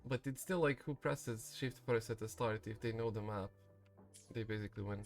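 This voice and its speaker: A man's voice: fairly low pitch, normal speed. A man giving casual gameplay commentary, thinking aloud a little hesitantly as he streams. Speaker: streamer playing a game. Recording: faint game music behind. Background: game music.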